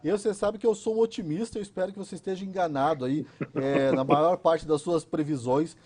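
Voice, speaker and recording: A man's voice: on the high side, brisk, a little mellow, slightly rough. A Brazilian man co-hosting a live show in a casual, chatty style. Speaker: co-host.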